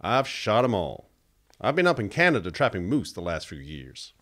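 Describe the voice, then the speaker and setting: Giant bragging trapper, lively swinging pitch, radio drama. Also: male voice, normal speed. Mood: boastful. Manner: boastful, bragging. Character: huge boastful male hunter and trapper.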